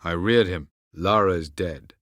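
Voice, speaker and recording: A male actor playing an aloof, ancient elven mage in a video game, speaking in a measured, condescending way with formal, archaic phrasing. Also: sits low, fairly bright tone, no echo, clean studio sound. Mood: measured, grave. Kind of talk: video game character acting.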